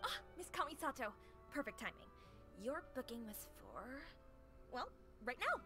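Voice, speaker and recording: A woman's voice: high voice, very animated, moderate pace, music in the background. A woman playing a friendly, chatty owner of a humble eatery in a video game, with an easygoing, confiding, neighborly manner. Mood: welcoming.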